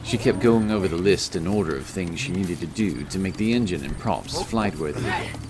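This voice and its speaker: A man's voice: deep voice, lively intonation, brisk, loud music behind the voice. A man reading scripted narration for a fantasy story as its narrator.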